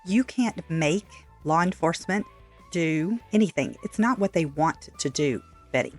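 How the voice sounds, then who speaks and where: A woman giving opinion commentary in a measured, matter-of-fact way, correcting point by point. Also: sits low, expressive, darkish tone, faint music behind. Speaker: reaction commentator. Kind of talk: opinion commentary over played clips.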